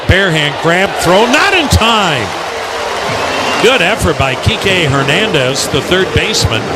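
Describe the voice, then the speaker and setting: A man calling live baseball play-by-play on the radio in measured, clipped phrases. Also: a little high. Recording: loud ballpark noise behind.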